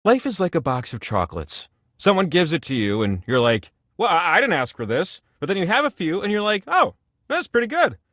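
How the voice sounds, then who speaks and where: Big old magic wheel game host, high voice, super swoopy, chatty and needy like a goofy god. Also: male voice, very animated, fairly quick, a bit bright, dry recording, studio quality. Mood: chatty, cheerful. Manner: chatty, theatrical, swings between gushing and mumbled asides. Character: giant ancient sentient game-show wheel, jovial, grandiose, needy god. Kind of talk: comic video game character monologue.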